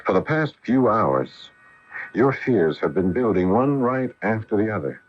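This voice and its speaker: Casting: grave male astronaut for vintage radio drama; very low, swooping delivery, earnest, on muffled AM broadcast. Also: sing-song, a little slow. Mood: grave, uneasy. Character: grave, middle-aged male astronaut husband with silvering hair. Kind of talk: 1980 radio drama acting.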